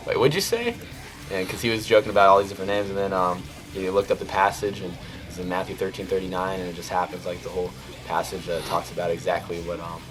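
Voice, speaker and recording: A twenty-one-year-old man, a band's singer, telling a story in a rambling, casual way. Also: low voice. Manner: rambling, full of fillers. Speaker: band vocalist. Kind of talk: casual interview.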